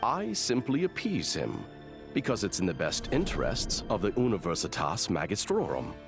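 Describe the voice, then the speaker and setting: Swooping, gravelly, smug court mage. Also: male voice, fairly low pitch, huge pitch swings, moderate pace, music in the background. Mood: insistent. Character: smug, pragmatic male royal chief mage and university president. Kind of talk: dramatic video game voice acting.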